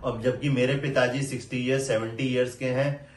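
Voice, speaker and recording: A middle-aged man, calmly explaining medical matters like a teacher. The voice sits back from the microphone in a roomy, echoing space. His speech mixes in English medical terms. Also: medium pitch, fairly quick, far from the mic. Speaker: doctor explaining hair loss. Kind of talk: educational talk. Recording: roomy sound, voice set back from the mic.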